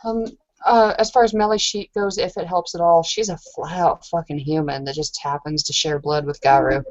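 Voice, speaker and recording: A woman's voice: sits low, steady tone, normal speed, a little mellow. A woman playing a tabletop roleplaying game, talking in casual banter. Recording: muffled lo-fi online voice call.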